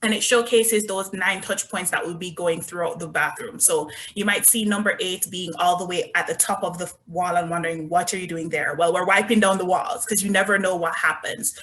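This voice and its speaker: A woman's voice: fairly low pitch, fairly even intonation, fairly quick, few pauses, a bit bright. A woman with a Jamaican accent, presenting resorts in an upbeat, chatty sales talk over a video call. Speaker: resort business development manager. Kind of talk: online travel presentation.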